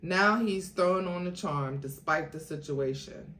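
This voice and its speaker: Slow-paced woman reading dramatically. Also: fairly low pitch. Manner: theatrical, expressive. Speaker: host reading a book aloud. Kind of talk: dramatic narrated read-aloud with asides. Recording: echoey room, faint background noise.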